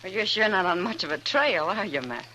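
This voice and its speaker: A woman's voice: medium pitch, lively intonation, fairly quick, sharp tone. A woman playing an easygoing, wry, teasing frontier saloon woman in a 1950s radio western. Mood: wry, curious. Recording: noisy old 1950s radio recording.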